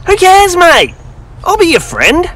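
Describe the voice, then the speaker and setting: A man putting on a high, cheery voice for a friendly, welcoming figure who talks loud and slow. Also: wildly swooping pitch, a little hiss or hum, exaggerated voice.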